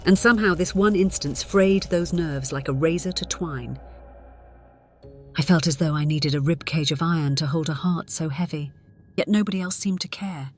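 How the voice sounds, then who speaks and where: A woman playing a centuries-old, conflicted vampire, narrating gravely in a measured, theatrical way. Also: deep voice, lightly acted. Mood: brooding. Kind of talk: dramatic scripted narration. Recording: dramatic music behind the voice.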